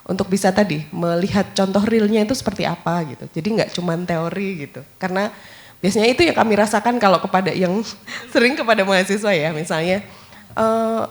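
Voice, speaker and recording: A woman, an author answering audience questions at a book launch, explaining at length with many hesitations. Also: medium pitch. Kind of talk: academic Q&A answer.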